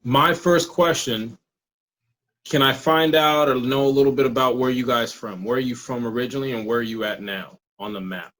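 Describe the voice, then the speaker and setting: A man's voice: medium pitch, normal speed, fairly bright tone. A man co-hosting an interview, asking questions in a loose, casual way. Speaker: co-host.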